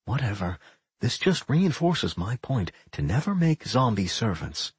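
A weary young male adventurer, acted by a male narrator, husky and muttering. He sounds sarcastic and reluctant, a put-upon hero in a fantasy storybook. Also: medium pitch, very animated, measured pace, no room sound, heavily acted. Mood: grumbling.

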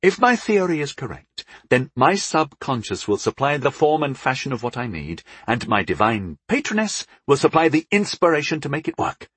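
A man's voice: mid-range voice, very animated, moderate pace, few pauses, a bit bright, slightly rough, no echo, voice pushed up. A man playing a sarcastic, daring wizard in a fantasy audiobook, wry and musing with eager recklessness. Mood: excited, reckless.

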